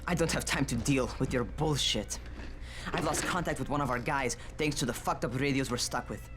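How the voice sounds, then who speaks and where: A man's voice: mid-range voice, normal speed, music in the background, close to an everyday voice. A man playing a brash, bossy young survivor who scolds curtly in a video game. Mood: irritated, dismissive. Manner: scolding, curt, profane.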